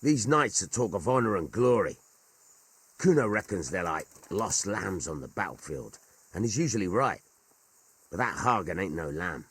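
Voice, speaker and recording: A rustic soldier of fortune in a game drama. The voice is raspy and on the low side, swinging expressively through colloquial, earthy speech. He sounds like a plain-spoken veteran. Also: sing-song, normal speed, fairly bright tone, heavily acted. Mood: wary. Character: rough, jovial, plain-spoken veteran mercenary. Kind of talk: dramatic video game cutscene dialogue.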